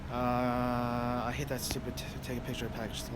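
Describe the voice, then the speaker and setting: A man's voice: medium pitch, completely flat delivery, moderate pace. A young American man, a delivery driver, chatting loosely with livestream viewers, full of fillers and restarts. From United States. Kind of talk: casual livestream chat answering viewers. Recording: noisy outdoor street background. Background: traffic and street noise.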